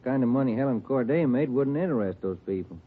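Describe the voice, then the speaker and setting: An actor playing a low-key police detective partner, plain and understated, in an old radio crime drama. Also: male voice, lively intonation, fast talker, slightly rough. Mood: subdued, puzzled. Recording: tinny old radio recording.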